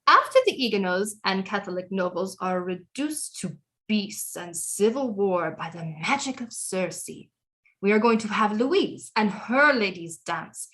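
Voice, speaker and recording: A woman's voice: medium pitch, fairly bright tone, natural voice. A woman playing an imperious, scheming French queen mother, grand and precise, in an audio drama. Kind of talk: stage-style audio drama acting.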